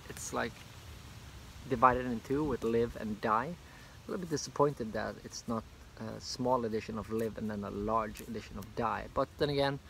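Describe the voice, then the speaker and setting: A man's voice: medium pitch, creaky, fairly bright tone, slight background noise. Rambling Norwegian man outdoors. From Norway. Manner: loose and rambling, many fillers. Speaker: comic artist and vlogger. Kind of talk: casual video review. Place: park bench outdoors, beside a hedge. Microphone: phone camera at arm's length.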